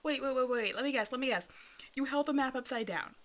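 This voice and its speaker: A woman's voice: high voice, fast, natural voice. A woman voicing a hungry half-snake naga in an ASMR roleplay, teasing and condescending, with mock-sweet menace. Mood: amused mocking. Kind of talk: ASMR roleplay audio drama, addressing the listener.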